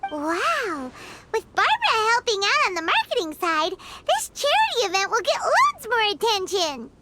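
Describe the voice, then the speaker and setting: A woman's voice: very high voice, huge pitch swings, moderate pace, very noisy, full character voice. A woman voicing a small, childlike floating girl sidekick in a video game, chirpy, eager and emphatic. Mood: eager, cheerful. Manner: chirpy, emphatic, refers to herself in third person. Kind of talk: video game cutscene acting. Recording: prominent game music behind.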